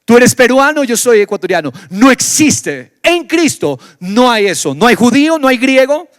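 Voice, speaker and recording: Ecuadorian pastor preaching with wide swings. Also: male voice, very animated, normal speed, no echo, studio quality. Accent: Ecuadorian Spanish. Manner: preaching with sudden swings from loud exclamations to low, quiet stretches. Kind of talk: sermon. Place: church.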